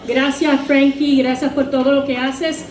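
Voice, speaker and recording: A woman presenting an award with warm praise read from notes, slipping into Spanish for a few lines. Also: high voice, little pitch movement, normal speed. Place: large echoey event hall. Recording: echoey hall with crowd noise.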